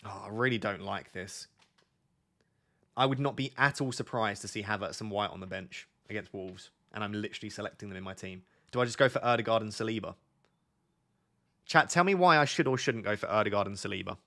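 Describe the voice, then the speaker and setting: A man in his thirties with a sore, fading voice, reading viewer questions and thinking aloud on a live stream from a home desk. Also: on the low side. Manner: thinks aloud, reads questions from chat, talks quieter and slower. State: losing his voice, sore throat. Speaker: fantasy football streamer. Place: indoor home studio at a desk.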